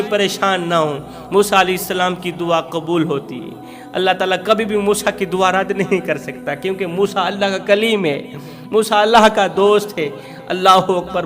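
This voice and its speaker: A man's voice: high voice, fairly bright tone. A man preaching through emotional storytelling, dramatic, repeating phrases for emphasis.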